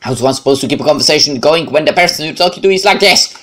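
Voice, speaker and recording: A male game streamer with a Polish accent reads visual novel narration aloud. His reading is expressive, with an occasional stumble and brief commentary. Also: a little high, fast.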